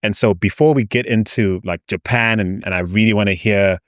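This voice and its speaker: A man's voice: fairly low pitch, brisk, no room sound, studio quality. A man hosting a podcast interview, asking long, thoughtful, winding questions. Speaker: podcast host.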